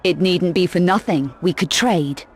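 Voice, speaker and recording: An actress playing a tough, self-assured young female adventurer in a video game, curt, sardonic and quietly threatening. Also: on the low side, normal speed, breathy edge. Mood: cool, persuasive. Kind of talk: video game cutscene acting.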